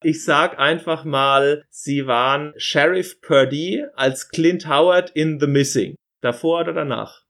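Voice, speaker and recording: Chatty male interviewer, slightly higher-pitched voice. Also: unhurried, few pauses, fairly bright tone. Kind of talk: casual interview.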